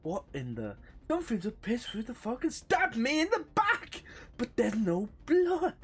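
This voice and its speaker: A woman's voice: on the high side, wildly swooping pitch, measured pace, breathy edge. A woman giving loose, rambling playthrough commentary as a game streamer, casual and sweary, sometimes reading game text aloud. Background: game music.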